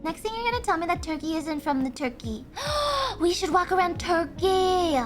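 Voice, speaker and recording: A woman streamer chatting casually and thinking aloud, excitable, with frequent gasps and laughs. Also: extremely high pitch, moderate pace, faint music behind. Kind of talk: casual livestream chat.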